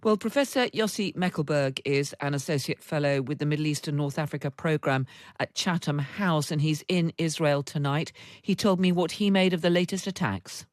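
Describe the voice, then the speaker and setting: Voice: medium pitch, moderate pace, no room sound. News presenter interviewing, dry studio sound.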